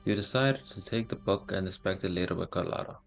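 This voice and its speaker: Guy doing a game walkthrough, deep crackly voice, stumbles reading stuff out, faint music behind. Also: gravelly. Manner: halting, stumbles while reading aloud. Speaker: gaming streamer. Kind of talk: casual game walkthrough, reading on-screen text aloud.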